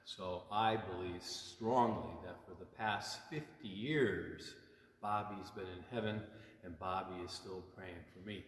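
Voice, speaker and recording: A man in his sixties, a priest, talking informally and simply to children at storytime, gently explaining and checking in with questions. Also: low-pitched, steady tone, unhurried. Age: 60s. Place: inside a church. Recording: echoey, long reverb of a church.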